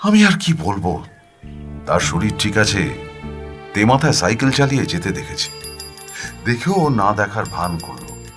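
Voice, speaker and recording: A man narrating a first-person story dramatically, with an expressive, weary, plaintive delivery. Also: lowish voice, unhurried, pauses often, music in the background. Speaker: audiobook narrator.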